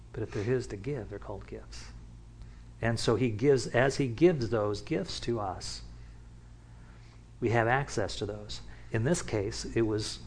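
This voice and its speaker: Man, pastor, conversational bible study, halting restarts, animated rises. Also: fairly low pitch, a little hiss or hum. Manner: casual, with many restarts and asides.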